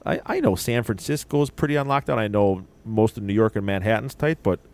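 A man's voice: lowish voice, brisk, darkish tone. A man in his forties hosting a casual podcast chat, joking and blunt, swearing now and then. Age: 40s. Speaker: podcast host.

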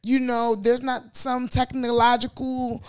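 A woman's voice: a little high, little pitch movement, a little slow, smooth. A young woman on a podcast giving blunt, slangy opinions in a casual chat. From Africa.

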